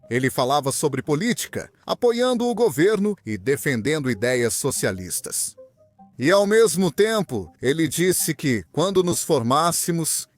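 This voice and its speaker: A young man from Venezuela, a guest in an interview, telling his story in an earnest, animated way. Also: a little high. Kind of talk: interview answers, personal story.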